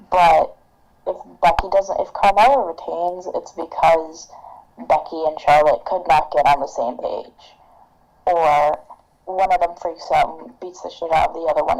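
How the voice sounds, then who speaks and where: A young woman gives her wrestling picks bluntly, swearing casually and sounding sure of her opinions. Her voice sits fairly low and carries a lot of gravelly fry. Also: darkish tone, rough recording. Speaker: podcast co-host. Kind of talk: casual wrestling predictions chat.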